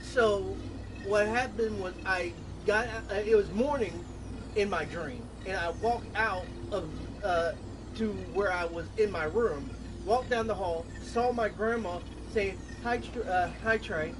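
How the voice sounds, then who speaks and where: A man streaming a game, chatting casually and telling things in a halting, confiding way. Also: high-pitched. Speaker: gameplay streamer. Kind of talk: casual chat over gameplay. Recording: distant mic with clear background noise.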